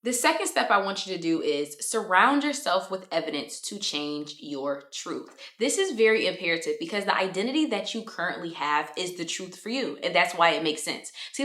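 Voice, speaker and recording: A woman's voice: fairly low pitch, sharp tone. A woman in her twenties giving motivational self-improvement advice straight to her viewers, in a direct, chatty way. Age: 20s. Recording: roomy echo.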